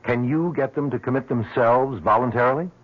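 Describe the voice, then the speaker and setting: A man playing a cautious professional physician in a radio drama, precise, measured and formal. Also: medium pitch, natural voice. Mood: cautious, clinical. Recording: dull lo-fi radio recording.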